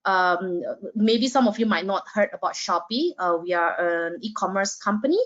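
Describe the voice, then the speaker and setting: A woman's voice: mid-range voice, expressive, moderate pace. Hesitant woman recruiter. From Indonesia. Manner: hesitant, many fillers. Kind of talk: online panel introduction. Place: Singapore. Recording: dull lo-fi video call audio.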